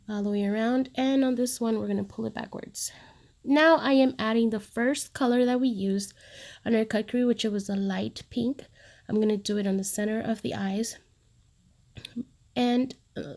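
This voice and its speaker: A woman's voice: medium pitch, creaky, darkish tone. Casual makeup-artist woman, 30s, stuffy nose from a cold, friendly step-by-step voice-over. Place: home studio, recorded separately as voice-over.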